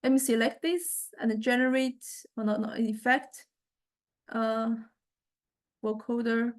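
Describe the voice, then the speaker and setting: A woman lecturer giving an informal software demonstration, explaining conversationally and often hesitating as she searches for words. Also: fairly high pitch, level tone, a little slow. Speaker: university music technology lecturer.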